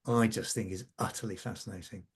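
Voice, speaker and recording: Halting, reflective man in conversation. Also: lowish voice, fairly even intonation, vocal fry, slightly rough. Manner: halting, thinking aloud, repeating phrases. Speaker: scriptwriter for a radio drama serial.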